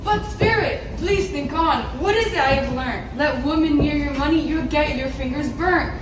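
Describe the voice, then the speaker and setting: A woman's voice: high voice, normal speed, background noise, natural voice. A woman playing a grumpy, miserly old man in a rhyming stage pantomime, declaiming scornfully. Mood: grumbling. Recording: echoey stage, distant mic, loud music.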